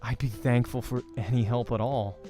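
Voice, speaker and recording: A man's voice: mid-range voice, fairly even intonation, moderate pace, dark tone, faint music behind, pitched below the performer's own voice, lightly acted. A man voicing an anxious, weary young man in a visual novel, speaking in a subdued, dry and hesitant way. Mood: resigned. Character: anxious, weary young Western male aspiring team recruiter.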